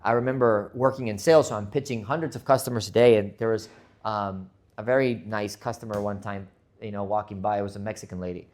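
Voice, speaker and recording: Male podcast host, earnest motivational monologue, slightly low voice, stumbles and restarts. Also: fairly even intonation, fast, a little mellow. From Spain. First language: Spanish. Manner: earnest, repeats words as he restarts.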